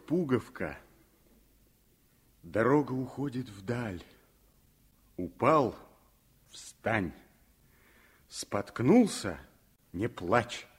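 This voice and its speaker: A man's voice: medium pitch, husky, heavily acted. A man playing a kind, cheerful young student tutor, speaking tenderly and with measure in a Soviet radio play.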